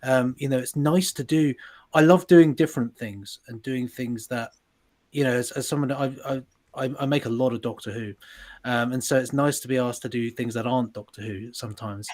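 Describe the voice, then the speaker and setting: A man's voice: medium pitch, moderate pace, darkish tone. Guy chatting on a stream, loose and self-deprecating, lots of restarts. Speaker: sound designer. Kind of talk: casual chat.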